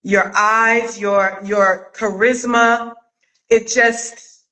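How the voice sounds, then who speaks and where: A woman's voice: a little high, steady tone, very slow, bright, forceful tone, poor audio. A woman hosting a casual live-stream chat interview over a video call, talking in an effusive, gushing way. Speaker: host of a live chat interview, audio drama maker.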